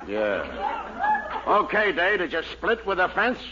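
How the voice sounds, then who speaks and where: A blustering middle-aged police captain who barks his questions. His voice sits high and raised. Heard on a dull, hissy old radio broadcast over studio laughter. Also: sing-song, measured pace, a loud crowd. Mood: gruff. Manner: gruff, barking interrogation. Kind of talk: 1940s radio sitcom acting.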